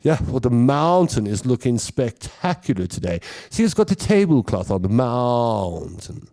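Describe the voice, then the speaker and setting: A South African man hosting a rambling, jokey monologue, laughing at his own stories. His pitch moves a lot, and his tone stays warm and mellow. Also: big pitch swings, a little slow. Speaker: host. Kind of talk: comic monologue.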